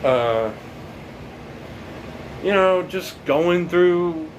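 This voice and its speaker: A young man in his late teens talking casually about his life, rambling and halting, with many fillers and restarts. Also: high-pitched, expressive, background noise. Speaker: documentary subject. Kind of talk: casual reflective monologue.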